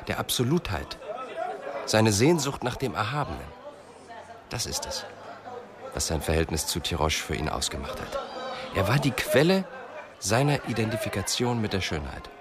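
A man playing an intense, quietly insistent police investigator with deliberate, measured speech in a radio crime drama. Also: fairly low pitch, sing-song, a crowd in the background. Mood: fervent, musing.

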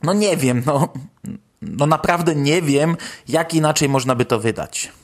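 A man's voice: a little high, measured pace. A man hosting a podcast, talking through news in a conversational commentary style. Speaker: podcast host.

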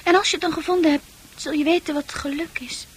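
An actress playing a dreamy, sensitive, troubled young woman in a Dutch radio play, confiding in earnest, halting, poetic speech. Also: high-pitched, fairly even intonation, close to an everyday voice. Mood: wistful, earnest.

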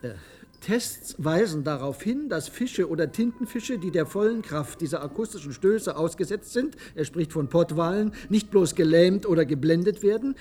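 A man's voice: on the high side, warm tone, close to an everyday voice. An actor playing an impassioned, cynical male researcher in a radio play, lecturing in an emphatic, sardonic way. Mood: agitated, scornful. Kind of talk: German radio play acting.

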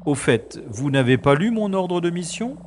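A man's voice: a little high, big pitch swings, normal speed, darkish tone, a little hiss or hum. Man playing a wry secret agent, echoey. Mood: smooth, evasive. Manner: dry, ironic, formal. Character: wry, self-assured male secret agent. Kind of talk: French audio drama acting. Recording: echoey, music behind.

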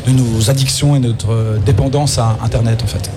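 A man's voice: medium pitch, fairly even intonation, fairly quick, background noise. A man in his forties, a musician guest in a live radio interview, talking hesitantly with many fillers and restarts. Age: 40s. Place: festival radio stand.

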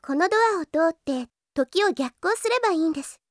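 Very high-pitched young anime girl, gentle, devoted, demure, formal polite phrasing, audio drama. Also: expressive, a little fast, no room sound, soft background music. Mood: calm, polite. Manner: very polite, hesitant, apologetic speech.

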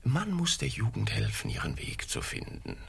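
A man's voice: medium pitch, wildly swooping pitch, moderate pace, creaky, background noise, heavily acted. A man playing a pompous, weary, bribable Spanish official in a radio drama, officious and muttering. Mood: weary, grumbling. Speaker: actor in radio play. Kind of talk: German radio drama acting.

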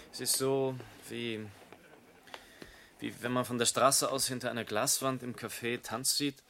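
A man's voice: on the low side, vocal fry, bright, forceful tone, slight background noise. An actor playing an embittered, proud, shabby young man in a radio drama, agitated and self-deprecating. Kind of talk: German radio drama acting.

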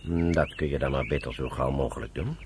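An actor playing a frank, fatherly military adviser in a Dutch radio play, with a measured way of advising. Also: rumbling low, darkish tone, a little hiss or hum. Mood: frank, grave.

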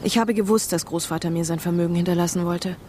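An actress playing an earnest, troubled young fiancée in a crime radio play, restrained and serious. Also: female voice, fairly low pitch, steady tone, quick pace, darkish tone, a little hiss or hum, natural voice.

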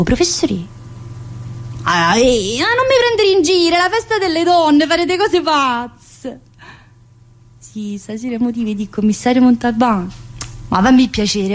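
A woman's voice: sits high, very animated, moderate pace. A woman playing a cheeky, needling female prison inmate in a colloquial theatre monologue, with a southern Italian accent and a teasing, familiar manner. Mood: teasing, cajoling. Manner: teasing, familiar, full of rhetorical questions. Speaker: stage actress performing a monologue. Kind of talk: theatrical monologue in colloquial dialect-tinged speech.

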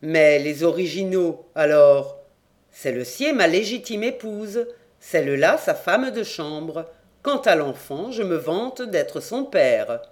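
A reader doing a booming, bold sea captain. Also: lively intonation, takes it slowly. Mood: proud. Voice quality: booming, forceful. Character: male ship captain, bold and loud-voiced.

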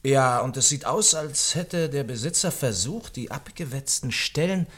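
An earnest sidekick keeps asking puzzled questions in an old radio drama. His mid-pitched voice rises and falls freely as he questions his friend. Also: lively intonation, normal speed, a bit bright, slightly rough. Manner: questioning, easily baffled, eager. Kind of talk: 1950s radio drama acting.

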